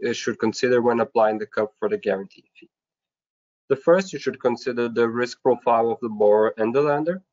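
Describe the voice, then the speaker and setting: A man's voice: mid-range voice, a little mellow. Formal male webinar presenter, dull audio. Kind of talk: scripted slide presentation.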